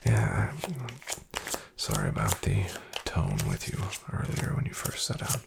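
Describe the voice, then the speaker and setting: A man playing a shady, guarded back-alley card dealer in a soft-spoken ASMR roleplay, half-whispering his clipped, unhurried patter with a gravelly creak. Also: very deep voice, wildly swooping pitch, pitched below the performer's own voice, exaggerated voice. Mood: guarded, hushed.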